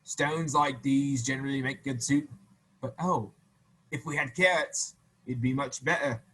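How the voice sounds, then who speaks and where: A man voicing a band of hungry, clever soldiers in a storybook read-aloud, with loud, raised, drawn-out calls. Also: medium pitch, steady tone, slight background noise, pitched above the performer's own voice, lightly acted, low-quality recording. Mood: coaxing. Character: hungry clever wandering soldiers, grown men.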